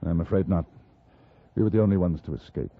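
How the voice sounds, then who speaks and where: A man acting a composed, courteous frontier gentleman in a 1950s radio western, speaking in a measured, understated way. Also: low-pitched, creaky. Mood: calm, serious. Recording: muffled old-time radio recording with music.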